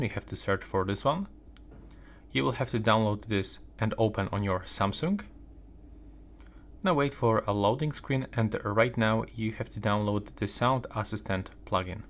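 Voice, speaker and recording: Guy with a lowish voice walking thru phone settings step by step, no script. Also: fairly bright tone, a little hiss or hum. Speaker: tech tutorial presenter. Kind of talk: unscripted step-by-step screen walkthrough.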